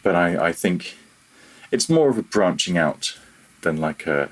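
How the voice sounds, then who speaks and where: A man with a low, slightly rough voice talks casually as an interview guest. He thinks aloud as he goes, starting and restarting, and tends to play himself down. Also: big pitch swings. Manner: halting, self-deprecating, thinking aloud. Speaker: interview guest, freelance audio developer.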